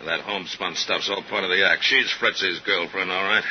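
An actor playing a cynical, bossy, streetwise operative in a 1950s radio drama, with a slangy, mocking way of talking. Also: male voice, sits low, steady tone, brisk, natural voice. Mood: cynical, needling. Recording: muffled, hissy 1950s radio recording.

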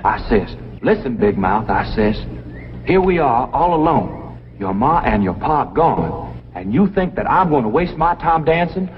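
An actor playing a naive, boastful young backwoods man with a Southern hillbilly accent, telling tales in a folksy, excitable way in an old TV sitcom. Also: mid-range voice, a little slow. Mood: eager, boastful. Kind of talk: 1960s TV sitcom acting. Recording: dull, noisy old TV soundtrack.

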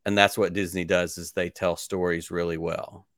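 A man in his forties talks with a low, steady voice, recorded close and dry with no room sound. He chats enthusiastically as the host of a podcast, rambling his way into long-winded questions. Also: moderate pace, no echo. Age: 40s. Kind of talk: casual interview chat.

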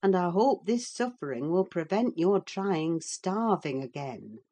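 A woman's voice: on the low side, lively intonation, measured pace, warm tone. A woman voicing a sensible, firm female servant in a classic-novel audiobook, matter-of-fact, insistent and chiding as she soothes her mistress. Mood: dry, chiding.

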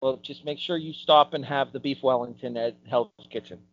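A man giving opinions as a podcast panelist, building his points emphatically and deliberately. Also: medium pitch, steady tone. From United States. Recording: dull, lo-fi close sound.

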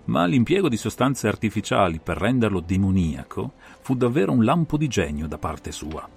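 A man performing an analytical gentleman detective recounting a solved case in a dramatized audiobook, with measured, theatrical phrasing. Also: fairly low pitch, big pitch swings, gravelly, over music. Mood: grave, analytical. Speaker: audiobook reader and interpreter.

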